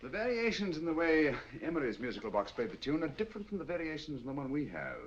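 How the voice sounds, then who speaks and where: An actor playing a sharp, cool-headed English detective with crisp, measured, lightly ironic speech, in a 1940s film. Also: male voice, fairly high pitch, very animated, dull audio, a little hiss or hum. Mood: explaining.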